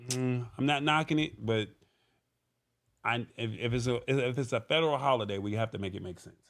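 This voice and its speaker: A man's voice: mid-range voice, brisk, fairly bright tone. A man hosting a live show in casual talk, conversational and thinking aloud as he goes. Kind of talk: casual livestream talk, reading viewer comments.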